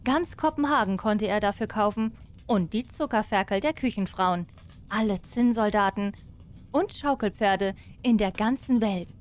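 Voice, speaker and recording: A woman narrating a fairy tale as an expressive audiobook storyteller. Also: mid-range voice, moderate pace.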